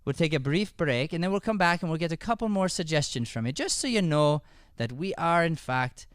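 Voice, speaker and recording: Male improv host, stage Irish accent, slightly high smooth voice, dry close-mic sound, casual audience banter. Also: animated, brisk, no echo. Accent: put-on stage Irish. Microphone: close podcast microphone.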